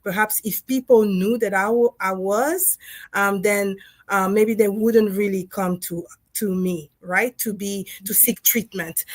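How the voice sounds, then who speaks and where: A woman from Congo who grew up speaking French tells her story in a relaxed livestream chat. She thinks aloud, pausing on fillers. Her voice sits at a middle pitch, and the sound is dry and close. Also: dry recording. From Democratic Republic of Congo. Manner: reflective, storytelling with frequent fillers and laughs. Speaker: dentist and co-author guest. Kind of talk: casual livestream conversation.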